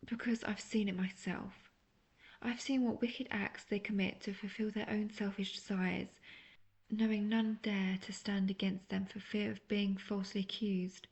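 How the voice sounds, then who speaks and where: Woman voicing a coaxing, gravelly-edged seductive witch. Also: medium pitch, little pitch movement, close to an everyday voice, poor audio. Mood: cold, righteous contempt. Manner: coaxing, teasing, slow breathy near-whispers mixed with soft talk. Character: seductive, manipulative, obsessive imprisoned witch, sweetly menacing. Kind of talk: intimate scripted audio roleplay, addressing the listener.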